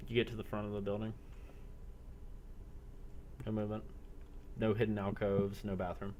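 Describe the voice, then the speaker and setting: A man narrating a role-play game as game master over online voice chat, halting and matter-of-fact. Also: lowish voice, completely flat delivery, darkish tone, a little hiss or hum.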